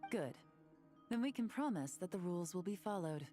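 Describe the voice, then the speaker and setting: A woman's voice: lowish voice, animated, moderate pace, dark tone, soft background music, natural voice. A woman playing a cool, shrewd intelligence agent in a video game, composed and knowing. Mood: shrewd, bargaining.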